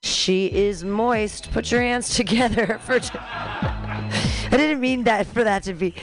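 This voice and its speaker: A woman's voice: on the high side, slow, over music. A woman hosting a comedy show with casual, rambling, self-mocking banter between acts.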